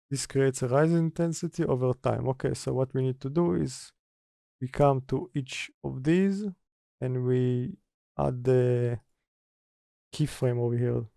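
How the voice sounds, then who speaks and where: Slow, gravelly man giving a software tutorial. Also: mid-range voice, no room sound, studio quality. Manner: halting, mutters step by step while working. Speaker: tutorial presenter. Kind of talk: informal screen-recorded software tutorial, partly read from notes.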